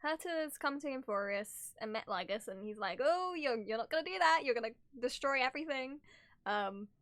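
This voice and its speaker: A woman's voice: high-pitched, expressive, normal speed, fairly bright tone, dry recording. A woman livestreamer with a giggly, playful manner, chatting casually and reading game story aloud as she reacts. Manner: giggly, playful, easily sidetracked. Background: game music.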